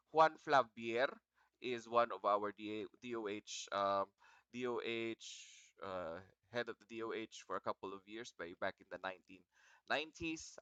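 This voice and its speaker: Male lecturer, Filipino accent, bright and clear with slight morning froggy edge, informal, encouraging, repeats himself. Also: mid-range voice, dry recording, highs cut off. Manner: encouraging, repeats himself, asks students questions. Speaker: virology lecturer. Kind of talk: informal online lecture to students.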